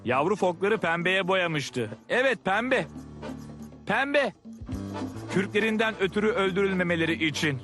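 Excitable, daring cartoon lad, highish voice over clear background music. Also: male voice, unhurried. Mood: eager, amused. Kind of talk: dubbed cartoon dialogue. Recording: music behind the voice.

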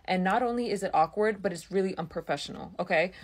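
A woman's voice: on the low side, fast, a little hiss or hum. A young woman ranting bluntly about nail techs in a clip, swearing as she goes. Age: young adult. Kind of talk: ranting video.

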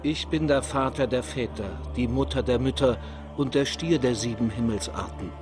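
A man's voice: medium pitch, natural voice. Man voicing a solemn ancient god over music. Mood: solemn, majestic. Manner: solemn, slow incantation. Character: ancient Egyptian creator god, solemn, all-powerful, proclaiming. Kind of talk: dramatic recitation in a documentary. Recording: music under the voice.

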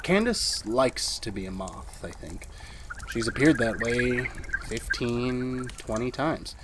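A man's voice: mid-range voice, animated, slow pace, background noise. A man acting a fretful, henpecked husband in a retro radio drama, hesitant and confiding. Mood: wary confiding. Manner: nervous, hesitant, aggrieved. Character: henpecked, fretful, very long-lived husband of a witch. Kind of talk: retro 1940s radio drama, confiding first-person narration.

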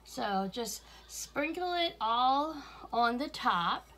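Brief: woman home cook, slow easy delivery, slightly high voice, camera on the counter, kitchen clatter behind. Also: expressive, fairly bright tone, a little hiss or hum. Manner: homey, unhurried, chuckles often. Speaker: home cooking vlogger. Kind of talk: casual cooking demonstration. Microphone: fixed camera at counter, speaker moving around.

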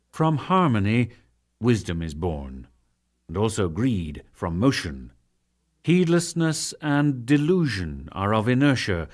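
A man narrating scripture as a dramatic audiobook reading, solemn and measured, with formal phrasing and deliberate pauses. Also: lowish voice, darkish tone.

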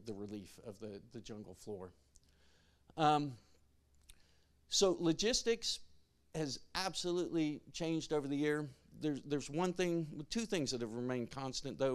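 Man giving a relaxed conference talk. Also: medium pitch, clear, resonant tone. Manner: relaxed, filler-heavy delivery with many restarts. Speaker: conference presenter. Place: conference stage.

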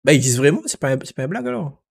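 A man with a Réunion Creole accent hosts a casual livestream chat. His voice sits a bit high. He talks with slangy enthusiasm. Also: big pitch swings, rapid-fire, gravelly, breathy edge. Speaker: livestream host.

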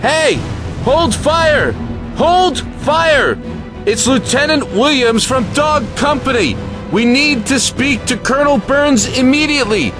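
Man playing a high-voiced, earnest army lieutenant. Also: expressive, takes it slowly, music in the background, heavily acted. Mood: urgent. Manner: urgent, formal, deferential. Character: earnest, rattled young male army lieutenant. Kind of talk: audio drama acting.

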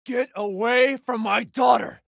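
A man's voice: very high-pitched, fairly even intonation, unhurried, exaggerated voice. An actor playing an intense, emotional father who is desperate to protect his grown daughter in a video game. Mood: urgent, desperate. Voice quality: raspy, gravelly. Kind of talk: dramatic video game voice acting.

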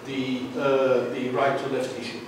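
A man at a workshop, speaking in a measured way to the group in a large conference room. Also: medium pitch, flat delivery, background noise. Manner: measured, says he has a loud voice. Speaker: workshop participant. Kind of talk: group discussion. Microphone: far room mic. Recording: echoey hall, far from the mic.

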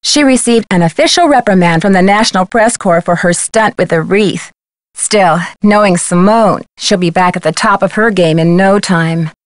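A woman acts as a level-headed teenage girl sleuth from a mystery game. She speaks at a middling pitch in a composed, conversational manner. The recording is dry and close, with no room sound. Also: normal speed, dry recording, close to an everyday voice. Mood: reflective. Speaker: video game voice actor. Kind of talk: scripted game dialogue and letter narration.

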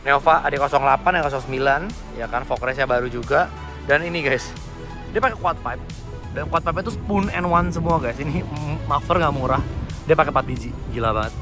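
A man's voice: on the high side, brisk. A man with a Jakarta Indonesian accent giving enthusiastic, casual vlog commentary, mixing slang with English car terms. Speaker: car vlogger. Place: outdoors at a car meetup. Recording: loud music behind, outdoor vlog audio.

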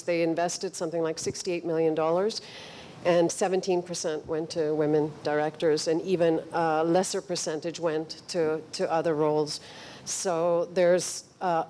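Panelist, female, middle-aged. Slightly low pitch, soft dark tone, measured discussion style with searching phrasing. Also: slight background noise. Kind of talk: considered panel discussion talk. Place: stage of a cinema auditorium. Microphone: handheld microphone.

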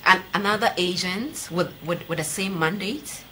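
A woman's voice: on the low side, normal speed, bright, forceful tone. A woman in her thirties with a Ghanaian accent, hosting a televised sports interview as presenter. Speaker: TV sports show host. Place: TV studio, seated at desk. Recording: clearly noisy studio recording.

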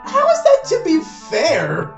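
A man's voice: extremely high pitch, wildly swooping pitch, measured pace, vocal fry, lo-fi sound. A man co-hosting casual gameplay banter, sweary, incredulous and sarcastic as he comments on a game. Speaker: gaming show co-host. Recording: game music behind the voice. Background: loud fighting game music.

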